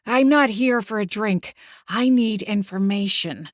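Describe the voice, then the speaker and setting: A woman voicing a pushy, demanding, eccentric older aunt in an audiobook, brisk and haughty. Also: medium pitch, no echo, studio quality, close to an everyday voice. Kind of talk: audiobook character acting.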